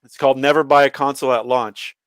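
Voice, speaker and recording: A man's voice: mid-range voice, fairly even intonation, fast talker, a bit bright, smooth, no room sound. A man co-hosting a casual podcast, blunt, opinionated and free with swearing. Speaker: podcast co-host. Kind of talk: casual gaming chat.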